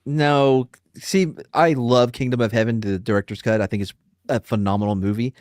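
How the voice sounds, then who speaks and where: A man's voice: medium pitch, moderate pace, no echo, clean studio sound. A man co-hosting a casual history podcast, joking and swearing freely in loose banter. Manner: animated, jokey, swears freely. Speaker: podcast co-host.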